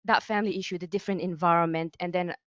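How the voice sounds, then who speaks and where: A woman's voice: lowish voice, fairly even intonation, rapid-fire, a bit bright, dry recording, muffled recording. A young woman from Afghanistan giving a candid, earnest personal speech at a public event, with frequent ums. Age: young adult. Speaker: youth advisory council speaker. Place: event venue with a podium.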